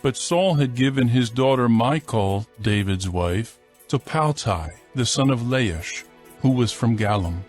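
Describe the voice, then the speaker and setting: A man narrating a dramatized scripture reading in a measured, formal, scripted style. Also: mid-range voice, expressive, darkish tone, faint music behind.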